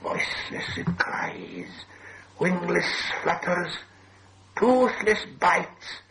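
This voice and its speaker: A man voicing a sly, wretched cave-dwelling creature in a storybook, hissing and whispery, with drawn-out words and childlike grammar. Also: sits high, huge pitch swings, bright, forceful tone, voice pushed up, exaggerated voice, poor audio. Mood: menacing, slow.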